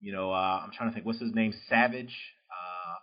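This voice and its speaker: A man with a Southern US drawl hosts a relaxed interview, his voice sitting a little low. He talks loosely, stringing thoughts together with fillers and easy laughs. The recording is dull and lo-fi. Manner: loose and chatty, many "you know" fillers, quick laughs. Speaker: podcast host interviewing an author. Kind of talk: casual interview chat. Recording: dull lo-fi sound.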